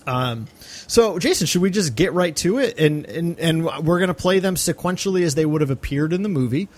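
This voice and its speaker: A man with a somewhat high-pitched voice co-hosts a podcast. He talks casually, in the conversational style of a film discussion. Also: lively intonation, fairly quick. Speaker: podcast co-host.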